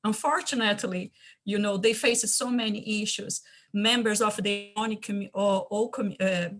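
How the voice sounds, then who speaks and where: A woman's voice: mid-range voice, normal speed. A woman from Brazil with a Brazilian Portuguese accent, speaking earnestly on an online panel and often restarting to search for words.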